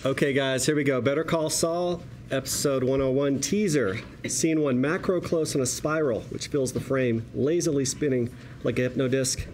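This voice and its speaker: A man's voice: mid-range voice, moderate pace. A man reading screenplay directions aloud as narration at a table read. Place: room with a group at a table read. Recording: noisy room ambience.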